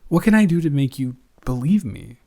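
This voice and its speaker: A man's voice: fairly high pitch, expressive, gravelly, warm tone. Man acting teasing, affectionate, flustered roommate. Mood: earnest, exasperated. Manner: halting, conversational, self-correcting. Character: teasing, affectionate, flustered young male roommate cuddling a friend. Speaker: audio roleplay performer. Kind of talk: improvised intimate boyfriend-style audio roleplay.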